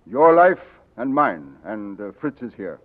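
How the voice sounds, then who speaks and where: An actor playing an older, loyal colonel who speaks in a grave, commanding way, in 1940s radio acting. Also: male voice, mid-range voice, big pitch swings, unhurried. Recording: tinny old radio broadcast.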